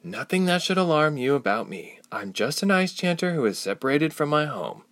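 A man's voice: on the high side, very animated, normal speed, a bit bright, dry recording, pitched above the performer's own voice. A man doing a nervous, earnest young woman. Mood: defensive. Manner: earnest, defensive. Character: frightened young woman ice chanter.

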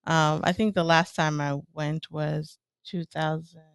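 A woman's voice: low voice, little pitch movement, unhurried, clear, resonant tone, dry recording, studio quality. A woman who grew up in Ethiopia chatting casually as a guest, rambling through fillers and laughing now and then. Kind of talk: casual chat.

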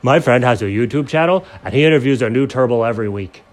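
A man's voice: medium pitch, fast talker, close to an everyday voice. A man voicing an easygoing, fatherly male friend in a bedtime story, speaking in a soothing, indulgent way.

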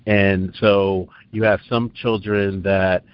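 Guy hosting an interview, rambly questions, lowish voice, muffled audio. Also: flat delivery, slow, clear, resonant tone. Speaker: interview host. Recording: dull lo-fi sound.

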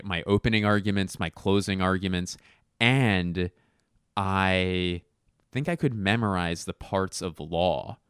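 A young adult man rambling through a casual solo chat, self-mocking and sure of himself, with quick asides and restarts. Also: low voice, smooth, no room sound, studio quality.

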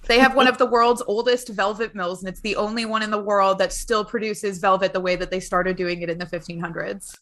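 A woman's voice: medium pitch, fairly even intonation, a little fast, few pauses, a bit bright. A woman hosting a podcast in a casual style. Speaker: podcast host.

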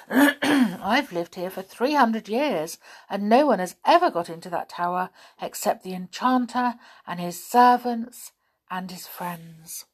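Kind ancient elf woman in a bedtime story, woman reading, slow soft delivery. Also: medium pitch, breathy edge. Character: kindly old female elf, three centuries old.

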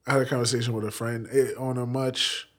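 A man's voice: mid-range voice, little pitch movement, fast talker. A man hosting a podcast, talking in a casual, playful and teasing way. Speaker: podcast host. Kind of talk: casual conversation.

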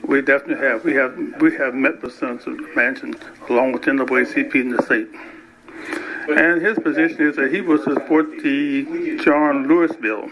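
A man's voice: medium pitch, fairly even intonation, normal speed, rough recording. An older man, president of a civic organization, answering questions in a field interview. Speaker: civil rights organization president. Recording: field interview with faint crowd chatter.

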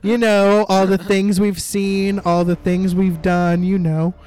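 A man's voice: sits high, flat delivery, slow, darkish tone. A man voicing an ambitious, bumbling corporate man who schemes and laughs as he talks. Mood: scheming.

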